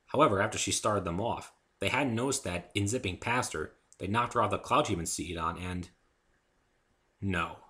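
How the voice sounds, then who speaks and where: A man narrating a first-person story as an expressive dramatic reading. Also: low voice, normal speed. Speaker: amateur narrator and author.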